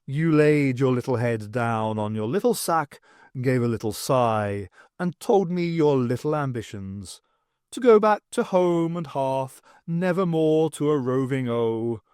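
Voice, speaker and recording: A man voicing a jaunty, flattering pet cat in an audiobook, with theatrical, old-fashioned pantomime phrasing. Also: medium pitch, measured pace, clear, resonant tone, dry recording, clean studio sound, close to an everyday voice. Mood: jaunty, fawning.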